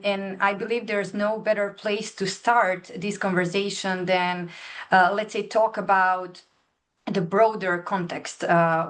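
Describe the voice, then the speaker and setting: Woman, lowish voice, gracious moderator, video call webinar. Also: a bit bright. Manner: gracious, thanking often.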